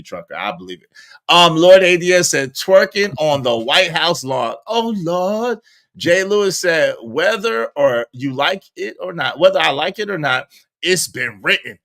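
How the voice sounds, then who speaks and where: A man's voice: on the high side, bright, forceful tone. A man in his forties hosting a live stream, chatting casually in slang and reading viewer comments aloud. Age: 40s.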